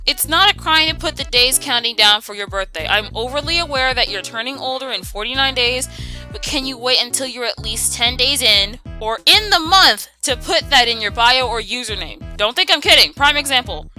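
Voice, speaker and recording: A woman's voice: sits high, bright, forceful tone. A young woman delivering a casual scripted rant in an exasperated, sassy and opinionated way. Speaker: video creator.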